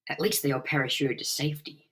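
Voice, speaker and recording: A man's voice: a little high, level tone, fast talker, background noise, voice pushed up, close to an everyday voice. A man voicing an anguished, frightened young flaming hero in a comic book drama. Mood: desperate.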